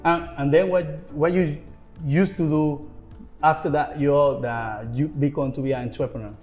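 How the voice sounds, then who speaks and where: A man with a mellow, dark voice hosts an interview. He asks his questions politely, with halting phrasing, and soft music plays behind him. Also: on the high side, fairly even intonation. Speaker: interview host.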